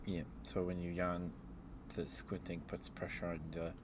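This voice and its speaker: A man's voice: deep voice, monotone, moderate pace, heavy background noise. A man streaming ASMR, chatting casually and playfully to his live viewers in a rambling way.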